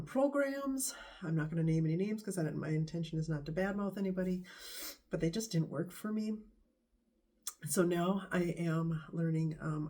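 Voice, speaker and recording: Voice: medium pitch, a little mellow. A vlogger with a stuffy nose from a bad cold, talking casually to camera in a rambling, hesitant way. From United States. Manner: rambling, hesitant, many pauses.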